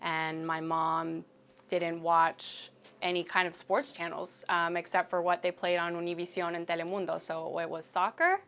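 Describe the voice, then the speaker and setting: Lower-voiced woman telling her story. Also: level tone, normal speed, fairly bright tone, slight background noise. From United States. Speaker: cheerleader. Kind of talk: interview testimony.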